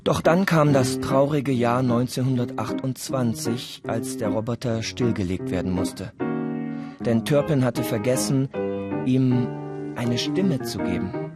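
A man with a husky voice, narrating a scripted radio play in a deliberate, dramatic storytelling manner. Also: mid-range voice. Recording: dry studio with music underneath. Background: music.

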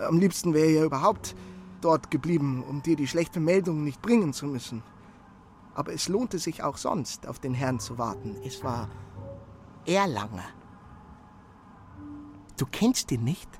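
An actor playing an eager, servile messenger in a radio drama, speaking earnestly and apologetically, with halting repetitions. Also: male voice, a little high, slight background noise. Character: eager, servile young male messenger reporting his efforts.

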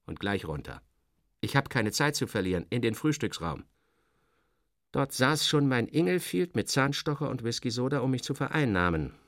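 A man's voice: mid-range voice, big pitch swings, moderate pace, fairly bright tone, dry recording, studio quality. A man reading first-person literary narration in a dramatic, wry interior-monologue manner.

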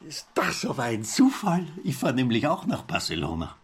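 Husky, pompous Viennese bohemian philosopher. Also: male voice, mid-range voice, huge pitch swings, moderate pace. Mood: eager, chatty. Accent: Austrian. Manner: pompous, lecturing, wordy. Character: Viennese newspaper editor, bohemian, garrulous amateur philosopher. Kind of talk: literary radio drama dialogue.

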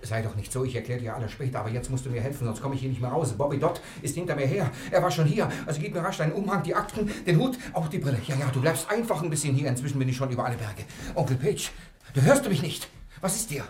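A man's voice: mid-range voice, lively intonation, fast, few pauses, darkish tone, natural voice. A man acting a cunning, cheeky fugitive thief in a 1960s German radio play, with a coaxing, fussing manner. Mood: urgent pleading. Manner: fussing, coaxing mutter to himself.

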